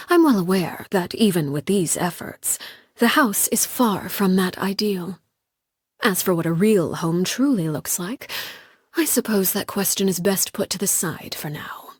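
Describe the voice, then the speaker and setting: An actress playing a cold, composed female commander in a video game, speaking in a measured, formal, restrained way with soft, breathy phrase endings. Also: medium pitch, dry recording, clean studio sound. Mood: somber, reflective. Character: cold, composed woman commander, stern guardian of orphans. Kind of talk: scripted video game character voice lines.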